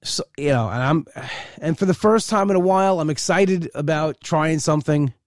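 A man's voice: on the high side, moderate pace, no echo, clean studio sound. A middle-aged man talking casually and candidly in a rambling personal monologue, often restarting his sentences.

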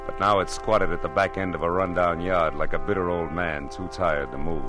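An actor playing a wry, world-weary private detective in punchy 1940s radio noir style. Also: male voice, low voice, steady tone, moderate pace, over music, lightly acted. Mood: wry, brooding.

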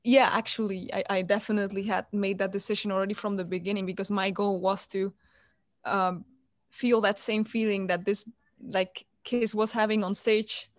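A woman's voice: medium pitch, little pitch movement, normal speed, no echo, clean studio sound. Woman guest on a video call. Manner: chatty, hesitant restarts, warm about family. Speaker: guitarist guest. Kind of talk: casual interview over video call. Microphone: computer on video call.

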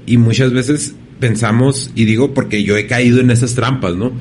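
A man from hosting a casual interview, relaxed and slangy with frequent restarts. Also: medium pitch, moderate pace, a little hiss or hum. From Ciudad Juárez, Mexico. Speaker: podcast host.

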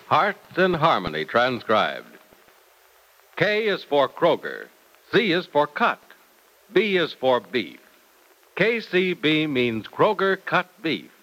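A man announcing and pitching a product on 1940s radio, emphatic and salesy. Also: fairly bright tone, poor audio.

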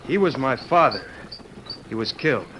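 A man's voice: medium pitch, big pitch swings, moderate pace, lo-fi sound, natural voice. An actor playing an earnest, determined man in his twenties in an old radio western. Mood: bitter, determined. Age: 20s. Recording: noisy old radio recording.

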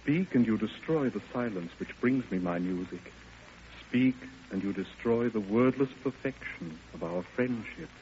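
An actor playing a grave, reserved young Russian composer in an old radio drama, speaking formally and solemnly. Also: male voice, on the low side, lively intonation, normal speed, a little mellow, close to an everyday voice. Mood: grave pleading. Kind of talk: classic radio drama acting. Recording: muffled, noisy old radio recording.

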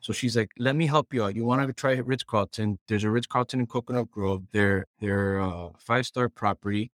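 A man's voice: lowish voice, a little fast, a bit bright, dry recording, clean studio sound. A man from Puerto Rico, a chef, casually telling stories as an interview guest and often voicing quoted remarks as he goes. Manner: conversational, quotes himself and others while recounting. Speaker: guest, chef and culinary director.

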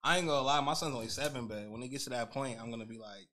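A man in his twenties talks as a podcast guest in a bright, punchy voice. He speaks quickly and informally, repeating slang tags and cursing freely. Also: mid-range voice. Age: 20s. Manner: slangy, repetitive, swears freely. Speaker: music artist guest. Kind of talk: casual chat.

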